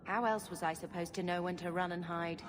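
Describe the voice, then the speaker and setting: A woman's voice: fairly low pitch, steady tone, a little fast, loud music behind the voice, close to an everyday voice. An actress playing a wry young woman refugee in a video game cutscene, dry and sarcastic.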